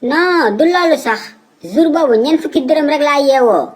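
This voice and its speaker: A man's voice: very high voice, animated, moderate pace, noisy background. An actor voicing a cheeky swindling man in a comedy cartoon, with a Senegalese accent and brash street slang. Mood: pleading, wheedling. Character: cheeky swindling man, a father posing as an official.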